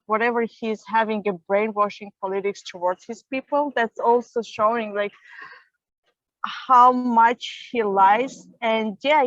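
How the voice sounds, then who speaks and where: A woman's voice: on the high side, fairly bright tone, no echo, dull audio. A woman on a panel, answering hesitantly and searching for her words.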